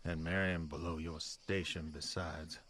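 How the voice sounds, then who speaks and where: A man's voice: a bass voice, steady tone, a little slow, fairly bright tone, a little hiss or hum. An actor playing a domineering old farmer father in a period audio drama, curt and scolding, with old-fashioned rural speech. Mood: stern, scornful. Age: older.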